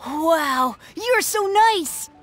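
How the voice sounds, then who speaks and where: An actor voicing an excitable, boastful young boy in a video game, with grandiose, dramatic delivery. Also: very high voice, extremely slow, heavily acted. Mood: awed, then whiny. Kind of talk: video game character dialogue.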